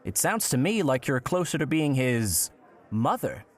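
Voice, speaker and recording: A man playing an unnervingly calm, knowing questioner in a horror audio drama, speaking in a measured, precise, insinuating way. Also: medium pitch. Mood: cool, probing. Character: unnervingly calm, probing male listener with sinister knowing air. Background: prominent background music.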